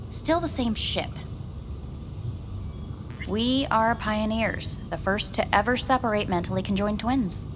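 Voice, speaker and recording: An actress playing a clinical, detached female neurosurgeon in a video game, formal and measured. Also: medium pitch, close to an everyday voice. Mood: reflective, proud. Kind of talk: cinematic video game acting.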